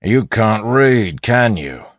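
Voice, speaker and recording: A man's voice: fairly low pitch, big pitch swings, slow, no room sound, voice pushed up. A man putting on a menacing, taunting monstrous bandit. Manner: taunting, bullying. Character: menacing, mocking half-monster male bandit thug. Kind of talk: audiobook character acting.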